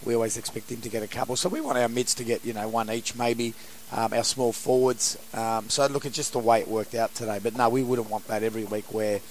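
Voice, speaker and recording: Hoarse football coach. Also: male voice, mid-range voice, slight background noise, low-quality recording. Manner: halting, many restarts and fillers. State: hoarse, has lost his voice. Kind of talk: post-match interview.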